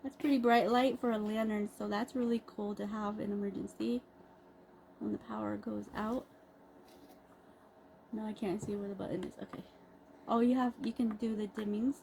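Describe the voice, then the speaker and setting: A woman giving a casual, improvised unboxing review, pausing mid-sentence in an appreciative, unhurried way. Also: mid-range voice, steady tone, mellow. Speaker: product reviewer. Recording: clearly noisy background ambience.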